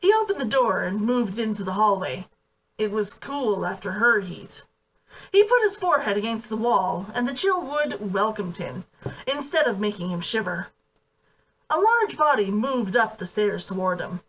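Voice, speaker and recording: A woman's voice: mid-range voice, very animated, moderate pace. A woman reading fiction aloud in a dramatic, emphatic storytelling style. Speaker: author reading own book aloud. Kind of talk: expressive storybook narration. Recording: voice distant from the mic.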